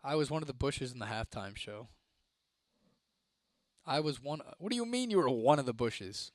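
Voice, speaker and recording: A young man from Ohio, chatting casually on a live stream, laid-back and swearing freely, his voice a little hoarse. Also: medium pitch, expressive, normal speed, a little mellow, dry recording, studio quality. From Toledo, Ohio, United States. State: voice getting hoarse. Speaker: livestreamer. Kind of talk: casual live-stream chat reading comments.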